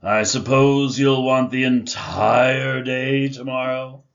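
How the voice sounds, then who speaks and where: A man's voice: medium pitch, fairly even intonation, slow, creaky, muffled recording. A man playing a cold, grumpy old miser in a family radio drama, curt and scornful as he barks at those around him. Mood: grudging, irritable.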